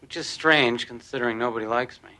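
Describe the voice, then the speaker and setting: A man's voice: mid-range voice, a little fast, sharp tone, close to an everyday voice. An actor playing an earnest, hesitant man drawn to a waitress, in naturalistic television drama. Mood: defensive, sincere.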